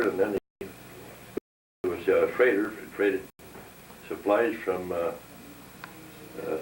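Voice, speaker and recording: An elderly man from North Dakota, recounting his life in a plain, matter-of-fact way. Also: low voice. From North Dakota, United States. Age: 70s or older. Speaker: interviewee, war veteran. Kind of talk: oral history recollection. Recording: roomy, distant microphone, background hiss.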